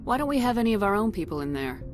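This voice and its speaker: A woman's voice: medium pitch, big pitch swings, rapid-fire, natural voice. A woman acting a tough, no-nonsense marine commander in a video game, curt and authoritative. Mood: brisk. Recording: music behind the voice. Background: music.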